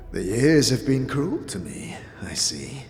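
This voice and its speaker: A man's voice: mid-range voice, darkish tone, exaggerated voice. A man voicing a greedy, crotchety old boss of scavengers who gloats and savours his words, in a fantasy audio drama. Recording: roomy echo under prominent music.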